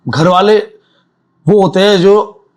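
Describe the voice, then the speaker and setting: A man's voice: sits high, slow pace. A man hosting a podcast, asking questions in encouraging, casual Hindi mixed with English. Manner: mixes English into Hindi, encouraging, many you-knows. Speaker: podcast host. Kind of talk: casual interview chat.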